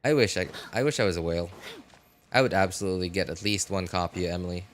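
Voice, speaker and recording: A man with a lowish voice talks casually on a game livestream. He rambles and repeats himself, with faint noise and game ambience underneath. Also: fast. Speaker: live streamer. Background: video game dialogue and ambience.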